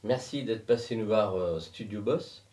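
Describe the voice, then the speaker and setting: A man's voice: lowish voice, measured pace, darkish tone. A man interviewing a guest in a casual style with short questions, heard at some distance from the microphone. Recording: voice distant from the mic.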